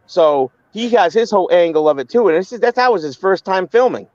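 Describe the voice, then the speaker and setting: A man's voice: high voice, expressive, a little fast, a little mellow, no echo, muffled recording. A man on a live online panel who talks bluntly and with heat, often stumbling and repeating words. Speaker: panel guest. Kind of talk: heated casual live-stream chat.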